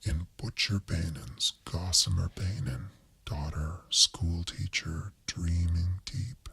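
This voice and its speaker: A man whispers a dramatic literary reading for ASMR. His voice is low and very husky, with a gravelly edge. He takes each phrase slowly and deliberately. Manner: slow, deliberate, expressive. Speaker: reader of a radio play.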